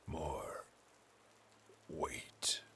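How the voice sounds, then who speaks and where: An actor playing a defiant, dying old man in an audio drama, forcing out words in a strained, breathy whisper. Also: bright, forceful tone. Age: older. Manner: halting, labored, defiant.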